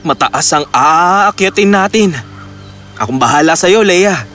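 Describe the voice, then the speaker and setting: Young magical cat-boy, fairly high voice, animated pitch, gallant, tender, cartoon fairy tale, music behind. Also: measured pace, full character voice. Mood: tender, reassuring. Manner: earnest, reassuring. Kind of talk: animated children's story acting.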